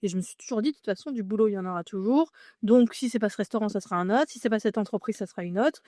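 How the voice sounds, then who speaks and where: A woman's voice: medium pitch, very fast, warm tone, no echo, clean studio sound. A woman from France in her thirties, a podcast guest telling her story in a chatty way with many fillers and restarts. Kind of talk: casual interview conversation.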